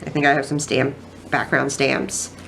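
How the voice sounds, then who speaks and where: A woman's voice: rumbling low, flat delivery, moderate pace, creaky, a bit bright, slight background noise, poor audio. A woman with a stuffy, nasal voice from a cold, chatting casually through a craft journal flip-through and rambling with many ums and restarts. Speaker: craft vlogger.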